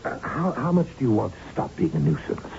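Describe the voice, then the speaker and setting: An actor playing a condescending, evasive, corrupt middle-aged factory boss in a 1970s-style radio drama. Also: male voice, mid-range voice, big pitch swings, brisk, creaky, a little mellow. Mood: smug, patronizing. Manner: evasive, patronizing, rhetorical. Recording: dull, noisy old radio recording.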